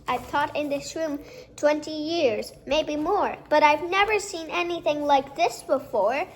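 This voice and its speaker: Woman playing a high, shrill, scolding schoolteacher. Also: unhurried. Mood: flustered. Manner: scolding, exasperated.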